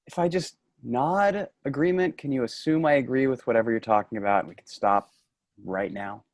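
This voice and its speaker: A man's voice: medium pitch, a little fast, dry recording, clean studio sound, lightly acted. A man in a staged comedy reading playing a weary, sardonic office worker, reluctant and exasperated. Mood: resigned, sardonic.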